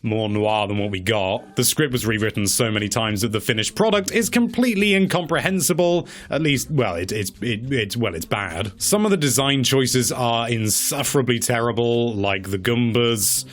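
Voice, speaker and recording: A man's voice: mid-range voice, normal speed, few pauses. A man with a mid-range voice gives casual, opinionated review commentary. His delivery is wry, leaning into mock-dramatic emphasis. He stretches key words and repeats them for effect as he presents. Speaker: presenter of a video game movie countdown.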